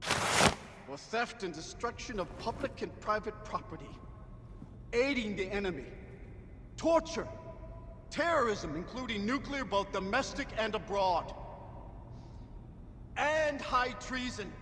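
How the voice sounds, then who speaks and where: A sanctimonious regime judge in a video game, speaking in a high male voice. He declaims grandly and savors every word. The voice rings through a long hall reverb over faint rumbling noise. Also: animated, slow pace, long pauses, a bit bright, full character voice. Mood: stern, declaiming. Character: pompous, sanctimonious regime judge pronouncing a death sentence. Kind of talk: dramatic game cutscene acting.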